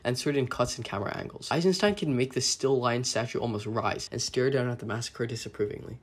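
A man reading scripted film analysis as a video essay narrator, in a formal read-aloud delivery with occasional stumbles. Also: mid-range voice.

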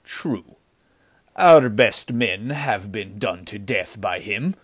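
A man's voice: on the low side, animated, takes it slowly, fairly bright tone, husky, exaggerated voice. Man acting a snarling, ruthless bandit chief. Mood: brooding. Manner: snarling, commanding, impatient. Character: ruthless, cursing bandit chief nicknamed the wolf. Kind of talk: dramatic storybook villain acting.

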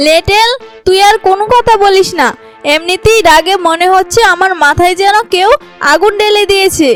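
Woman playing very high-pitched spiteful cartoon villainess. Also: moderate pace, soft background music. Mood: furious. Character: vengeful, imperious fairy-tale queen, later a spiteful greedy girl. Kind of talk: animated fairy tale voice acting.

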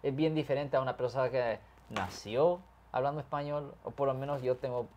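Talkative California-born lawyer explaining law. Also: male voice, medium pitch, fairly even intonation, a little fast, darkish tone, slight background noise. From California, USA. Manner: explains at length, mixes English words into Spanish. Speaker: criminal defense lawyer. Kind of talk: conversational legal explanation.

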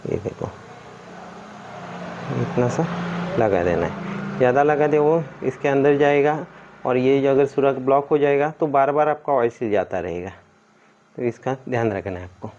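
A man's voice: medium pitch, normal speed, pauses often, a little mellow, slight background noise. A man giving hands-on instructional commentary as a mechanic, explaining and pointing things out step by step. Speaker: car mechanic presenting a tutorial. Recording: dull lo-fi sound.

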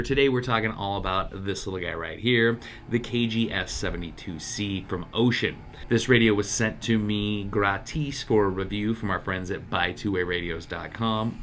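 A man's voice: lowish voice, a bit bright, a little hiss or hum. A man hosting a review channel, talking casually through a product and trying it out. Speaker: host of a radio review channel. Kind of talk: casual product review and field test to camera.

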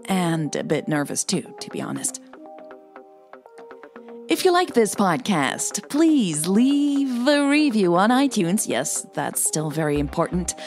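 Slow, mellow woman narrator. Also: mid-range voice. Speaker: podcast host and storyteller. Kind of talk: scripted story narration.

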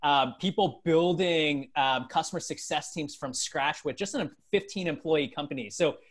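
A man's voice: a little high, moderate pace. A man in his thirties hosting a conversational business interview over a video call on earbuds. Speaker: interviewer and host. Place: small bright room at home, on a video call. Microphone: wired earbuds on a video call.

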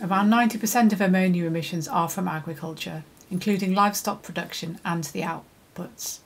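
A middle-aged woman with a lowish voice talks through a scripted lesson to camera, steady and clear. She speaks as an environmental consultant, recorded close up in a quiet indoor studio. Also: faint music behind. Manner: measured, clear, steady delivery. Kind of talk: scripted educational presentation. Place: indoor studio, plain background.

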